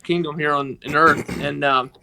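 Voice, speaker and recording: A man with a Mississippi accent, telling a personal story on a podcast in a halting, earnest way. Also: a little high, steady tone, vocal fry, bright, forceful tone, a little hiss or hum. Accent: Southern US, Mississippi. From northeast Mississippi, United States. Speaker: podcast guest, organization member telling his story.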